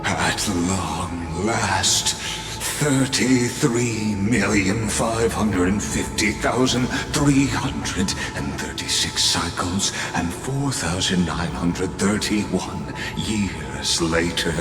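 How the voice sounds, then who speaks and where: A coldly philosophical game sage, stately and grandiose; reverberant hall sound with music underneath. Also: male voice, low-pitched. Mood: grave, scornful. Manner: slow, deliberate, stately delivery. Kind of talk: theatrical video game voice acting. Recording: echoey long reverb under loud music.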